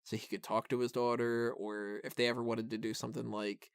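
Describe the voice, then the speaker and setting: A man's voice: mid-range voice, level tone, fairly quick, clear, resonant tone, no room sound, studio quality. A man hosting a film review podcast, talking casually and giving opinions in a rambling, self-interrupting way full of "like".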